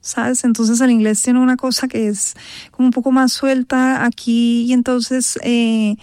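A woman, a voice actress giving thoughtful, searching answers in an interview, full of pauses and restarts. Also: a little high, level tone, a little mellow, dry recording, studio quality. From Colombia. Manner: thoughtful, many fillers and restarts. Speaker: guest, voice actress.

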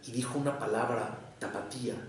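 A man from Mexico with a Mexico City and Jalisco accent, a psychoanalyst giving a measured public lecture in short, deliberate phrases. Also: mid-range voice. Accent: Mexican, Mexico City mixed with Jalisco. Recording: echoey hall from far off.

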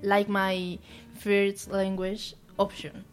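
A young woman from Colombia with a Colombian Spanish accent, a university student answering interview questions in English, her second language, halting and searching for words with many restarts. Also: medium pitch, monotone. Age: young adult.

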